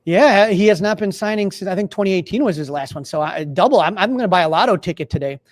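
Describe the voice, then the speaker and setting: A man with a distinctly high voice and an American accent talks sports casually. He rambles and circles back over his phrases, recorded close and dry. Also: expressive, fast talker, dry recording. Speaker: sports podcast host.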